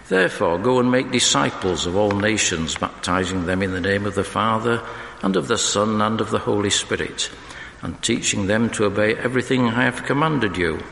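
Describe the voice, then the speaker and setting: A husky man, reading scripture and leading prayer in a measured, solemn way. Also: lowish voice, a bit bright. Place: church. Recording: echoey church with faint background noise.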